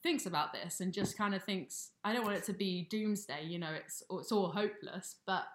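A young woman co-hosting a casual interview. She thinks out loud in a musing way and uses plenty of fillers. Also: mid-range voice, normal speed. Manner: thoughtful and musing, with frequent fillers. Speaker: podcast co-host. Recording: echoey room.

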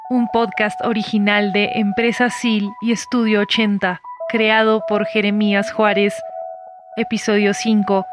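A woman acting a formal time-travel device making scripted announcements. She is measured and impersonal, speaking slowly at an ordinary female pitch. Soft music plays under the voice. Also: level tone, natural voice.

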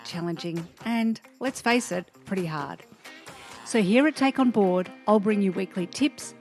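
A woman's voice: medium pitch, a little mellow. Upbeat Australian woman hosting a podcast. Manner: upbeat, chatty. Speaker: podcast host. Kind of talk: podcast interview.

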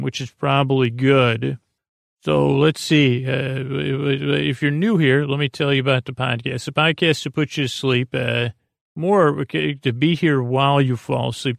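A man with a creaky voice hosts a sleep podcast, meandering hesitantly through tangents in a bedtime monologue. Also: medium pitch, no room sound, clean studio sound. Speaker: podcast host.

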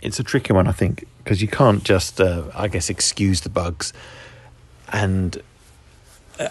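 Man, very low husky voice, podcast host, thoughtful and measured, casual discussion. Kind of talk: casual video game discussion.

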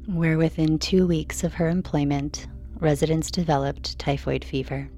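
A woman narrates a bedtime story in a low, softly mellow voice. She reads slowly and soothingly from a script, with faint music playing behind her. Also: steady tone. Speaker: narrator reading a sleep story.

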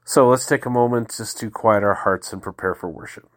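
Calm man with a head cold reading prayers. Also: on the low side. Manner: calm, measured, devotional reading with slow pauses. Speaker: podcast host leading daily prayer. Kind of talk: reading liturgy aloud, prayerful.

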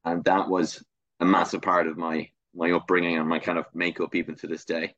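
Interview guest: middle-aged Dublin man, very low voice, halting and thoughtful, dry muffled recording. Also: completely flat delivery, fairly bright tone, dry recording. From Ireland. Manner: hesitant, many fillers and restarts. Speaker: guest filmmaker. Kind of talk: reflective interview answers. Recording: muffled lo-fi sound.

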